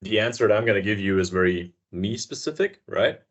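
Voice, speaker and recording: Low-voiced man, dull lo-fi sound. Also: lively intonation, a little fast. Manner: fluent, organized, explains step by step. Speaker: podcast guest, entrepreneur. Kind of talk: casual interview chat.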